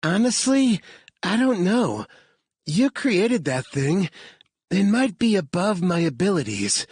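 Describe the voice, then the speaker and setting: A woman's voice: lowish voice, sing-song, slow, a little mellow, husky, no echo, pitched above the performer's own voice, heavily acted. A man voicing a wry young woman hacker in an audiobook. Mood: wry, breathless.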